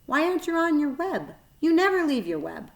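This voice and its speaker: A woman's voice: high-pitched, very animated, fairly quick, voice pushed up. A woman voicing an anxious, innocent young male pig from a storybook, pleading as he talks.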